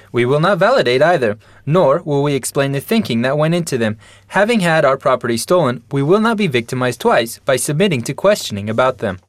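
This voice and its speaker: Man reading the news, lively pitch. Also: dry recording. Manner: steady formal delivery. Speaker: newsreader. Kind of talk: read news report.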